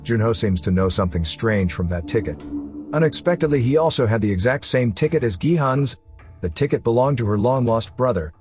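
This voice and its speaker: A man's voice: mid-range voice, expressive, fairly quick, a little mellow. A man reading a scripted story recap as a narrator. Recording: music running behind the voice. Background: background music.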